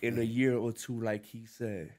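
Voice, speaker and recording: A man's voice: medium pitch, flat delivery, normal speed, a little mellow. A man in his thirties hosting a podcast in a studio, encouraging and hyping up his guest. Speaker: podcast host. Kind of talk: casual interview talk. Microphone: studio mic on boom arm.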